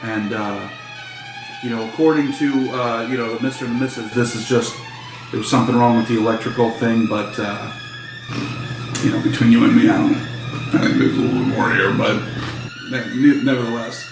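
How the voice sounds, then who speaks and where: A man's voice: medium pitch, fairly even intonation, a little fast, gravelly, music in the background, lightly acted. A man playing a rambling, folksy muscular handyman, echoey. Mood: chatty, easygoing. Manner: folksy, hesitant, rambling with you-knows. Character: tall muscular suspicious male handyman doing repairs.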